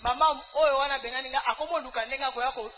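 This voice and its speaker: A very high-voiced woman with a Congolese accent testifies in church as a preacher. She speaks emphatically and scoldingly in short bursts. The recording is narrow and phone-like, with loud noise around her. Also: steady tone, fast talker. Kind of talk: animated church testimony in short phrases for interpretation.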